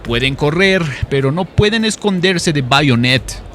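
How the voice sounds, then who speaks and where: A man's voice: a little high, expressive, measured pace, a bit bright, pitched above the performer's own voice. A man playing a smug, showy salesman presenting weapons to a crowd in a narrated comic story. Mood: smug, confident. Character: slick male arms-show salesman, showy, smug presenter. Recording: music behind the voice.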